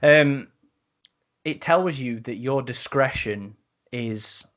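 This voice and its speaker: A man hosting a casual podcast discussion, speaking in a halting way and rephrasing as he goes. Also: medium pitch, fairly bright tone. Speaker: podcast host.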